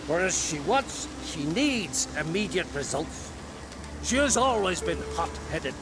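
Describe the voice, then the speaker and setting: An actor playing a proud, stern older scholar in a game cutscene, telling a legend in a measured, grave way. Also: male voice, on the high side, big pitch swings, background noise. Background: game score music.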